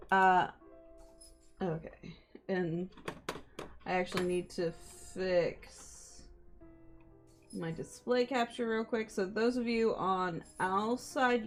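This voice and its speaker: A woman hosts a livestream in a voice of middling pitch. She talks casually to her viewers, in a giggly and apologetic manner. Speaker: livestream host. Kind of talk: casual stream chat to viewers.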